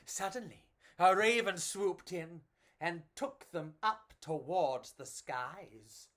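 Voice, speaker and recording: A woman's voice: on the low side, slow, fairly bright tone. A woman reading and chatting to children as a storyteller, in a theatrical, gushing and affectionate way.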